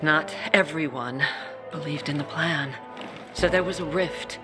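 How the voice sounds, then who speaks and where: An actor playing a tough peacekeeper commander who speaks in a gruff, curt way. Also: mid-range voice, a little slow, music in the background, lightly acted.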